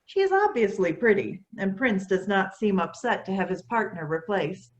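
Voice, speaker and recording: A woman's voice: mid-range voice, animated, moderate pace, muffled recording. A woman reading scripted stage directions as narrator of a staged play reading.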